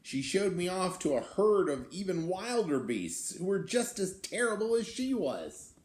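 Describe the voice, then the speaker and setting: A man's voice: sits high, sing-song, unhurried, a little mellow, voice pushed up. A man voicing an indignant little wild beast from a picture book, telling its tale in a theatrical, aggrieved way. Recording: roomy echo, some background noise.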